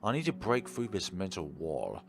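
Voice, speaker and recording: A man's voice: low voice, big pitch swings, moderate pace, gravelly, exaggerated voice. A man voicing an earnest, self-doubting teenage schoolgirl club president in a visual novel, with a dramatic delivery. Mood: anxious, frustrated. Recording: music behind the voice.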